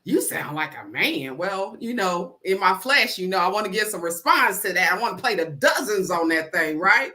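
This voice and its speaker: A woman's voice: expressive, moderate pace, hardly any pauses, bright, forceful tone. A woman giving an inspirational spoken-word talk, with a repetitive, rhythmic preaching cadence and call-outs to the crowd. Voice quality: deep voice. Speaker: motivational speaker.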